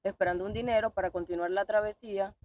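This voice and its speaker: A woman's voice: medium pitch, fairly even intonation, quick pace, a little mellow, a little hiss or hum. A woman from Venezuela with a Venezuelan accent, a guest answering interview questions hesitantly, with many fillers.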